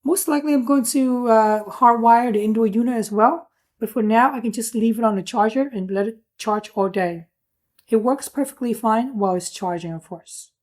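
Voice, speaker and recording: A woman's voice: a little high, normal speed, a little mellow, rough recording. A woman giving a casual hands-on product review, explaining things in an informal, plain-spoken way.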